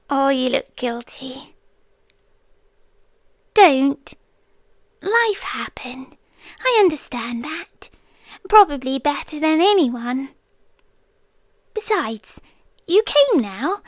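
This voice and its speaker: A wistful forest being in the shape of a devoted girlfriend , acted by a woman, with a high British voice. She talks extremely slowly and intimately, often dropping into whispers. It is an ASMR roleplay, close and dry on the microphone. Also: a bit bright, no echo, full character voice. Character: wistful, devoted inhuman childhood friend from the forest, yandere girlfriend. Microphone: close, dry studio-style microphone.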